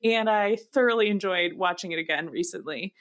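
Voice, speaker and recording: A woman's voice: fairly low pitch, a little fast, a bit bright. A woman co-hosting a podcast, chatting casually about books in a conversational style. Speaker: podcast co-host. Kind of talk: casual book chat.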